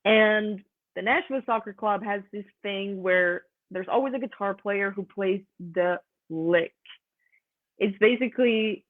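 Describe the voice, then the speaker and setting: A young woman with a Swiss German accent chats as a guest, mid-pitched and easygoing, laughing now and then. Her voice comes through a thin, phone-like line. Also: unhurried. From Switzerland. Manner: chatty, laughs often. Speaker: guest musician. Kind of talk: casual interview chat.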